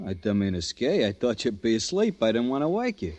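A tired, colloquial working husband in vintage radio drama. He grumbles and protests in a mid-pitched voice that rises and falls freely. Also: very animated, fast, a little mellow, no echo. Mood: concerned. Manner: plain-spoken, exasperated, colloquial. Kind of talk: 1940s radio acting. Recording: vintage 1940s radio broadcast.

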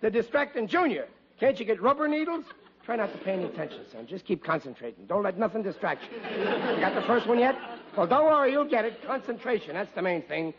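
An actor playing a blustering, stubborn, well-meaning but dim family father in an old-time radio sitcom, with a husky voice and colloquial delivery. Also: high-pitched, sing-song, fairly quick, noisy background. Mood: exasperated. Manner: exasperated, stammering, colloquial working-class bluster. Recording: dull, muffled vintage radio recording.

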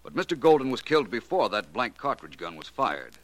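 An actor playing a shrewd, self-assured private detective in a theatrical, deliberate 1940s radio drama style. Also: male voice, mid-range voice, sing-song, fairly bright tone. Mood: commanding, suspenseful. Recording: noisy old 1940s radio recording.

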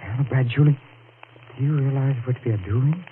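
A man's voice: mid-range voice, a little fast, natural voice. A guilt-wracked murderer as voiced by a man stammers and spirals toward hysterical laughter in an old radio thriller. The broadcast is thin and tinny under a faint hiss. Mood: anguished. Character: desperate man who killed a rival. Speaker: radio drama actor. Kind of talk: 1940s radio acting.

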